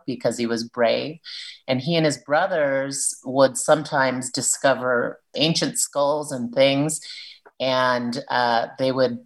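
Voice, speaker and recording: A woman's voice: a bass voice, poor audio. A woman author talking earnestly about her children's book and reading from it aloud. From Peruvian family, living in Arizona.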